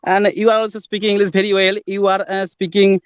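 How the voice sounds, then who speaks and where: Man, Indian accent, fairly high voice, smooth, dry close sound, polite and halting. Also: little pitch movement, no room sound. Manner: hesitant, formal, says ma'am. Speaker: conversation partner. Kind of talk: casual practice conversation.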